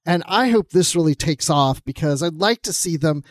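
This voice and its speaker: A man's voice: fairly high pitch, animated, normal speed, dry recording, studio quality. A man co-hosting a podcast, talking casually as part of a panel discussion. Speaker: podcast co-host.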